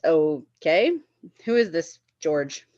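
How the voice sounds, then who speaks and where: A woman's voice: deep voice, expressive, slow, darkish tone, dry recording, muffled recording. A woman playing a smug, dismissive man brushing off a casual lover, in a comedy screenplay table read. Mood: mocking curiosity.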